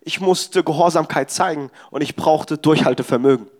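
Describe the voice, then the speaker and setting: A young man delivers a sermon at a church service. His voice sits high and light, and he drives his points home with emphatic, exhorting insistence. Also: moderate pace. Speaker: preacher.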